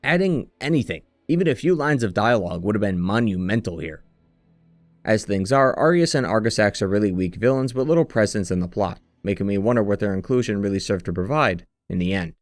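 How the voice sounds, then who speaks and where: A man's voice: on the low side, animated, fairly quick, dry recording, faint music behind. A man reading scripted, analytical commentary as the narrator and critic of a video game retrospective.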